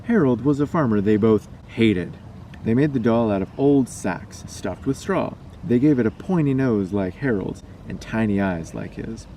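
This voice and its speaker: A man's voice: lowish voice, animated, normal speed, dark tone. A man reading a scary story aloud as a storyteller. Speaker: storyteller and vlogger. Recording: distant mic, noisy background.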